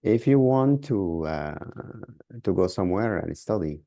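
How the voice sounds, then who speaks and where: A man from Sudan with a Sudanese accent, answering as a podcast guest in a halting way, repeating words as he searches for phrasing. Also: deep voice, expressive, mellow, no room sound, studio quality. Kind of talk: interview answers.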